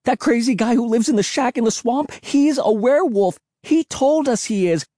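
A man voicing a frantic, stubborn young boy in an audiobook story, with a shrill voice. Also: normal speed, dark tone, dry recording, studio quality. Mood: panicked.

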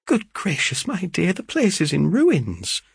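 A man voicing a doting, easily shocked middle-aged mother in an audiobook, gentle and genteel. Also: high voice, wildly swooping pitch, a little slow, gravelly, breathy edge, no echo, studio quality, pitched above the performer's own voice, full character voice. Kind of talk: dramatised audiobook reading of a classic novel.

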